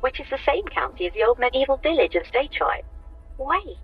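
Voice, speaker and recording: Eager researcher voiced by an actress, high-pitched and animated, dull phone-speaker filter, husky earnest delivery for audio drama. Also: female voice, a little fast, no echo, soft background music, full character voice. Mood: excited. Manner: excitable, earnest. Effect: telephone speaker filter.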